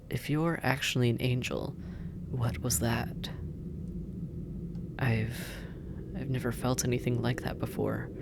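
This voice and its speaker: A sardonic demon captor, played by an actor in an audio drama, drawling slowly with a gravelly fry. The teasing, intimate delivery slips into breathy murmurs and long pauses, over clearly audible background noise. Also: medium pitch. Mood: shaken, demanding. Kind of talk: scripted roleplay audio drama, intimate second-person address.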